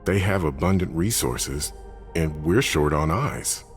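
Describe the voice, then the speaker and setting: Casting: a serious spy reporting to a ruler in a game; very deep, factual delivery. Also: male voice, lively intonation, measured pace, over music. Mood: sober, reporting. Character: sober male intelligence tracker reporting to his ruler. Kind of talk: dramatic video game acting.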